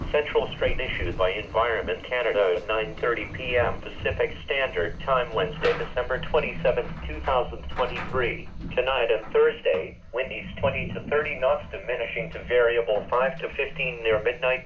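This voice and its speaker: A male voice, synthetic and flat, reading a marine weather forecast. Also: mid-range voice, reverberant, far from the mic. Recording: dull, noisy radio heard from across a cabin.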